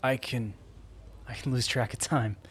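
A man's voice: medium pitch, steady tone, husky. A man voicing a shy, frail homeless woman with a hesitant, stammering manner in an audio story. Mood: hesitant, resigned. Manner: halting, stammering.

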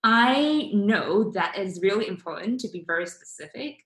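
A young woman from Singapore hosting a casual interview, chatty and quick to restart her sentences. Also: medium pitch, expressive, a bit bright. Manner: chatty, restarts often, many fillers. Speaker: podcast host. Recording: echoey room.

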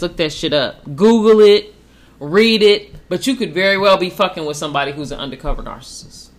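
Woman on a podcast laying down relationship rules, cussing a lot, bright sharp tone. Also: lowish voice. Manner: blunt, profane, preachy. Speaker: podcast co-host. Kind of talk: casual relationship advice chat.

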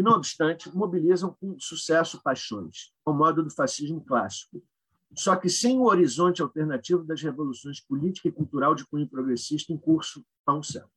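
A man's voice: fairly high pitch, slightly rough, low-quality recording. A Brazilian man speaking as a panelist, reading a formal, erudite academic paper with brief informal asides.

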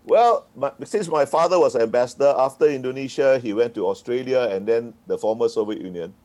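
A man's voice: medium pitch, a little fast, a little mellow. A man, a retired diplomat answering interview questions in a frank, wry way, often chuckling. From Singapore. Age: 60s.

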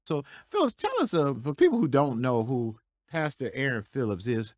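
A man of average pitch hosts an interview show, putting casual, lively questions to his guest. His speech is relaxed and conversational, with a dry, close mic sound. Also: moderate pace, dry recording. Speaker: podcast host.

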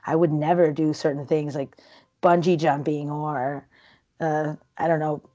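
Low-voiced chatty woman, lo-fi. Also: level tone, unhurried, dark tone. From Peru. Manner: chatty and rambling, many fillers and restarts. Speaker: guest. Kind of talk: casual interview conversation.

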